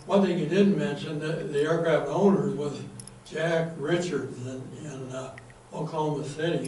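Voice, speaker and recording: A man in the audience of a small talk, sharing a chatty recollection with animated emphasis. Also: a little high. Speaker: audience member. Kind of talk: comment from the audience. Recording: very echoey room, speaker far from mic, faint noise.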